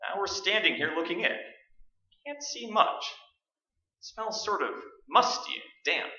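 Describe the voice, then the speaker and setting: A man in a staged radio play, playing a brash, skeptical, excitable radio host narrating a ghost hunt aloud. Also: high-pitched, a little slow, heavily acted. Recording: roomy stage recording, distant, dull.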